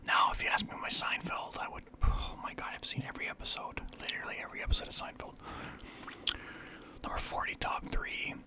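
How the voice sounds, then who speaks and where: A young man from Canada whispering through a casual, rambling list of favorites as a vlogger. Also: a little fast. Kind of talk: whispered ASMR list chat.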